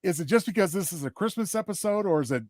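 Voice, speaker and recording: A man's voice: sits high, lively intonation, quick pace, darkish tone, no room sound. A man co-hosting in casual chat, phrasing things carefully and wordily, with hesitations. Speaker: co-host.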